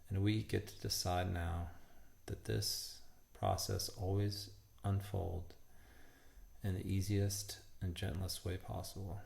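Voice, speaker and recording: A man leading a guided meditation in a calm, measured way, in short phrases with long pauses. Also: sits low, gravelly.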